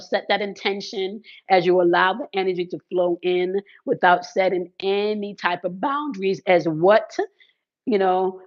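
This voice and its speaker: A woman with a hoarse, crackling voice from congested sinuses, talking casually to her viewers about spiritual routines and often checking in with "okay" and "right". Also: fairly low pitch. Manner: repeats "okay" and "right" as check-ins, uses fillers. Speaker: spiritual content creator. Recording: slightly dull lo-fi sound.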